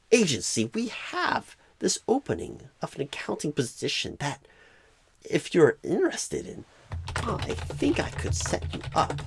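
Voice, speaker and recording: Bumbling male recruiter, acted by a man, ASMR roleplay. Wildly swinging pitch, rambling halting delivery, very close dry sound. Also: husky, dry recording, full character voice. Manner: halting, odd pauses and stresses, rambling, repetitive.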